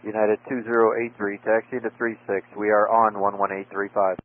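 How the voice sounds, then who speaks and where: Pilot on a tinny radio. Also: male voice, lowish voice, little pitch movement, brisk. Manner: clipped, repeats call sign. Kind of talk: radio phraseology. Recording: tinny radio transmission with faint noise.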